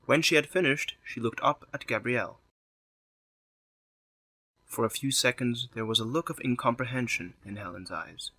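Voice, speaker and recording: Story narrator: man, forceful bright delivery, medium pitch, dry studio-like recording. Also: normal speed, pauses often, no room sound.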